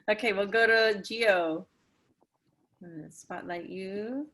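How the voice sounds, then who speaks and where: A woman's voice: medium pitch, takes it slowly, fairly bright tone. A woman hosting a playwrights' talk-back over a video call, speaking in a casual style.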